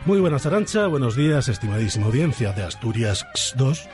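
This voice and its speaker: A man's voice: medium pitch, sing-song, fairly quick, over music. A man with an accent from Spain, narrating comic radio in a theatrical, mock-grandiose manner. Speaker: radio segment host and narrator.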